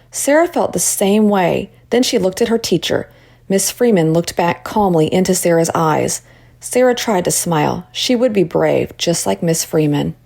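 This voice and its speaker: A woman narrating a children's story in an expressive read-aloud style. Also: on the low side, gravelly.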